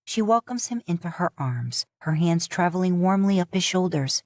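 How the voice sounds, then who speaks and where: A woman reading a story aloud in a calm, steady narration. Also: lowish voice, normal speed, no room sound, studio quality. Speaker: podfic narrator. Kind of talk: scripted audiobook narration.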